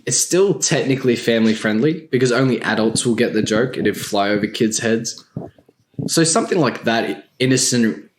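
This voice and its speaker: A man's voice: mid-range voice, moderate pace, noisy background. A man in a casual group chat, talking in a blunt, rambling, slangy way. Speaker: podcast panelist.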